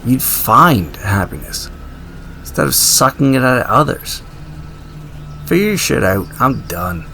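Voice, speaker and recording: An actor playing a blunt, earnest, exasperated wasteland survivor and tinkerer in an audio drama, often swearing. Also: male voice, mid-range voice, big pitch swings, a little slow, gravelly, bright, forceful tone, exaggerated voice. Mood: angry. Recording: noisy ambient sound effects behind.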